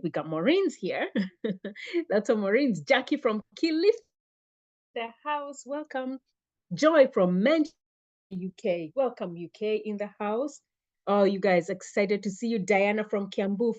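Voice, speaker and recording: A woman hosts a live online class, upbeat and chatty as she welcomes viewers by name. Her voice sits at a middling pitch and comes through a computer mic on a video call. The audio is a little dull. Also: darkish tone. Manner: upbeat, greets viewers by name, repeats phrases. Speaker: webinar host and fitness coach.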